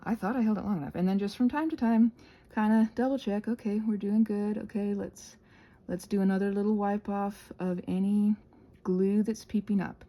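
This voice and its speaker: A woman with a mellow, husky voice and plenty of fry talks through a hands-on craft tutorial. She is informal and digressive, stopping and restarting as she goes. Her asides are playful and poke fun at herself. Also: medium pitch, fairly even intonation, moderate pace. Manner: playful, self-deprecating asides. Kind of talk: casual rambling craft tutorial.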